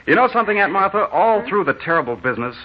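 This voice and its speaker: An actor playing an earnest, lovestruck young soldier home from war, in melodramatic 1940s radio drama style. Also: male voice, high voice, sing-song, quick pace. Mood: joyful, earnest. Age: young adult. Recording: muffled, hissy 1940s radio recording.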